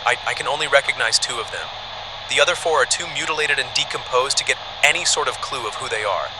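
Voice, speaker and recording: A man's voice: medium pitch, quick pace, noisy background, close to an everyday voice. A man acting as an anxious, wary park ranger in a horror drama, uneasy and urgent. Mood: shaken.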